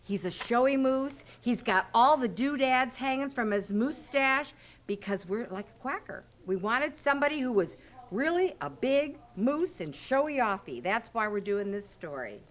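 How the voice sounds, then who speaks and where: A woman's voice: a little high, lively intonation, normal speed. An older woman hosting, chatting casually and coaxingly around a children's story reading. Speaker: host. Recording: music playing behind the voice.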